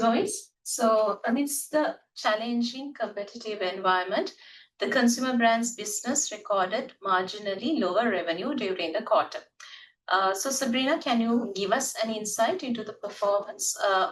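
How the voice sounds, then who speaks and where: A woman's voice: mid-range voice, lively intonation, moderate pace. A woman moderating a corporate call in a formal, polite, scripted way. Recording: recorded from a distance.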